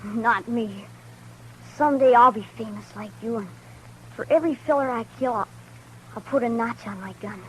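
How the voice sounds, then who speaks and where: In a 1950s radio western, a woman voices a cocky runaway farm boy dreaming of fame with a gun. His high voice rushes with eager boasts in rough country grammar. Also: fairly bright tone, a little hiss or hum, lightly acted, low-quality recording. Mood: boastful.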